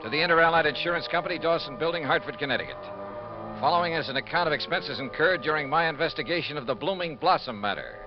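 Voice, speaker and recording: Male actor as wry American private investigator, animated, mid-pitched, vintage radio drama with dulled sound. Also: quick pace, over music, natural voice. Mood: crisp narrating. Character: brisk, wry, hard-boiled male freelance insurance investigator. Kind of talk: 1950s radio detective acting and narration. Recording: muffled vintage radio recording.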